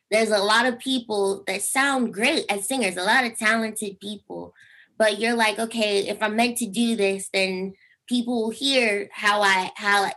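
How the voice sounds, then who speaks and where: A young woman with a squeaky speaking voice, a singer chatting over a video call and telling stories with lively acted-out quotes. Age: young adult. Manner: animated storytelling, acts out quotes with big pitch jumps. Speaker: singer guest. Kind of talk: casual interview chat.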